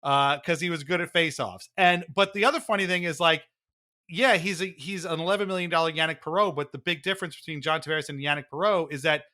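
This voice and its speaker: A man's voice: a little high, quick pace, bright, forceful tone. A man co-hosting a sports podcast in a casual, wry and amused manner. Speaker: podcast co-host. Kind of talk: casual hockey fan chat with mock-dramatic readings.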